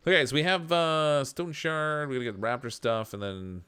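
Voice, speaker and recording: A man's voice: fairly high pitch, normal speed, sharp tone, clear, resonant tone. A man streaming a game live, chatting casually, laughing often and answering his viewers as he plays. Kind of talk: casual live gameplay commentary.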